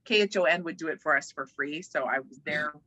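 Chatty lady being interviewed, super bright punchy voice, emphatic, from hawaii. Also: low-pitched, fairly quick, slightly rough. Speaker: interview guest, organizational development worker and voiceover artist. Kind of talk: casual conversational interview.

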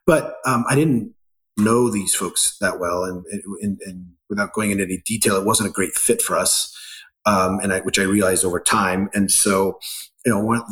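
A middle-aged man from Ecuador, a business founder answering interview questions in a halting way, with many fillers and restarts. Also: low voice, fairly even intonation, fairly bright tone, poor audio.

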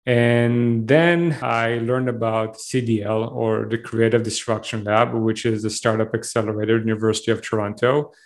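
A man's voice: medium pitch, little pitch movement, normal speed, few pauses. A man in his thirties from Israel with an Israeli accent, a startup CEO telling his story candidly in an interview. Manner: rambling, candid, self-aware storytelling.

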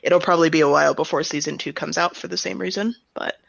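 A woman speaking as an interviewed director, explaining her creative work in a thoughtful, reflective way with softened statements. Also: sits low, fairly even intonation, fast, vocal fry, dry recording, highs cut off. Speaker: audio drama director and writer being interviewed.

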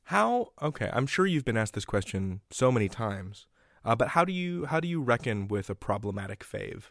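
A man's voice: medium pitch, big pitch swings, moderate pace, vocal fry, clean studio sound. Guy interviewing someone, conversational, voice moves up and down a lot, no room echo. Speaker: interview host.

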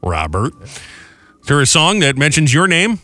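A man's voice: on the high side, huge pitch swings, measured pace, bright, forceful tone, no room sound, soft background music. A man co-hosting a morning radio show, bantering, taking calls and half-singing song lines in a jokey way. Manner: jokey, chatty, sings snippets of songs. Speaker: radio co-host.

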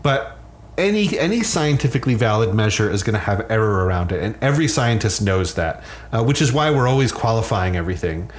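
A man speaking conversationally as an expert guest, explaining science in a measured, qualifying way. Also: lowish voice, big pitch swings, slight background noise. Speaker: sleep scientist, interview guest.

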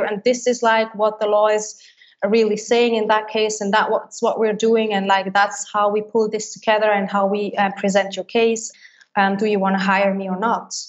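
Woman, flat and fluent. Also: medium pitch, dull audio. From Switzerland. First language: Swiss German. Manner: fluent, many likes and restarts. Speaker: business coach, interview guest. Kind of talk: casual interview answers.

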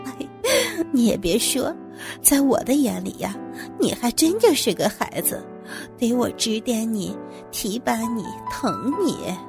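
A middle-aged female boss in an audio story, acted out by a woman, indulgent and self-satisfied. She drawls with condescending sighs and interjections in a fairly high voice. Music plays clearly behind her. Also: lively intonation, measured pace. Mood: amused, indulgent.

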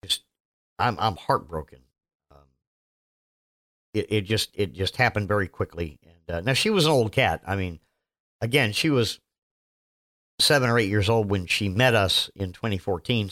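A man hosting his own podcast, his voice still hoarse from illness, rambling casually with lots of fillers and self-mocking asides. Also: fairly low pitch, animated, unhurried, pauses often, fairly bright tone. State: hoarse, recovering voice. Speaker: podcast host. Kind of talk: casual solo podcast monologue.

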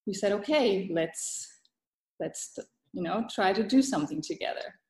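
Woman from Thailand, podcast guest, mid-pitched, thoughtful, halting, frequent restarts, roomy echo. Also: lively intonation, darkish tone. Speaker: guest author. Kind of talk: podcast interview.

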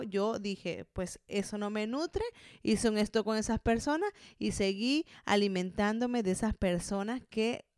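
A Colombian woman in her thirties speaks as a podcast host with a smooth, clear voice at a medium pitch. She talks in an encouraging, conversational way, addressing the listener directly. The recording is dry and close, with very little room sound. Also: no room sound. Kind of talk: motivational monologue, conversational.

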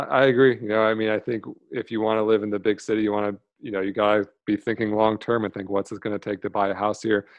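A man's voice: fairly low pitch, no room sound, dull audio. A man from Michigan with an American accent, talking loosely as a podcast guest, with many fillers and restarts. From Michigan, USA. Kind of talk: casual interview talk.

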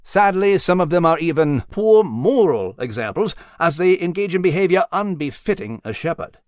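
Man voicing an animated, proper animal scholar. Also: on the high side, moderate pace, no room sound, studio quality. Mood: earnest, explaining. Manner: precise, lecturing, proper. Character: Nigel, erudite animal scholar, male, explaining history. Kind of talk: audiobook storybook character voice.

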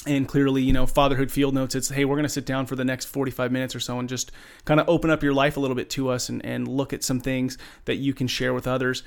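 A man's voice: medium pitch, level tone, fast, creaky, hardly any pauses. A man hosting a podcast, asking questions in a friendly, affirming, casual way. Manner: friendly, affirming, short prompts. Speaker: podcast host. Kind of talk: casual interview chat.

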